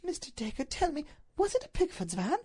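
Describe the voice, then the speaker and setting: A woman voicing an anguished, highly strung duchess in a storybook reading, pleading and breathless in a melodramatic way. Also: big pitch swings, moderate pace, mellow.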